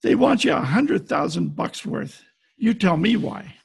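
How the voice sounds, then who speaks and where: An actor playing a blunt, wry private detective in an old-time radio play, with clipped noir delivery. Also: male voice, sits high, sing-song, unhurried, vocal fry, slightly rough, heavily acted. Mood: probing. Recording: home video-call recording.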